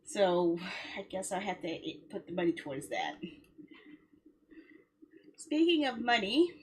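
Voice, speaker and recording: A woman's voice: mid-range voice, very animated, measured pace. A woman game streamer talking casually to her viewers in a rambling, conversational way. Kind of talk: casual livestream chat.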